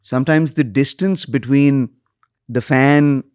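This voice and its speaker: A man in his forties, a comedian from India, talks as a guest in a relaxed chat. He gets animated and jumps up in pitch whenever he quotes someone. The sound is dry and close. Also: takes it slowly, smooth, no echo. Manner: animated, pitch jumps up when quoting people. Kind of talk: casual interview chat.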